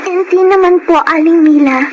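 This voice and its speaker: A woman's voice: very high voice, moderate pace, muffled recording. A woman acting a poor, humble, grieving orphan girl in a Filipino radio drama, polite and earnest, with a Filipino accent. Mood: polite, resolute. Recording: noisy radio drama audio.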